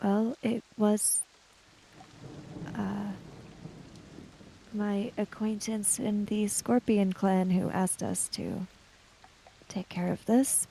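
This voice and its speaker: A woman's voice: medium pitch, fairly even intonation, extremely slow, gravelly, pauses often, warm tone, a little hiss or hum. A woman playing a formal, guarded samurai woman in a tabletop role-playing game, speaking hesitantly. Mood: guarded, careful.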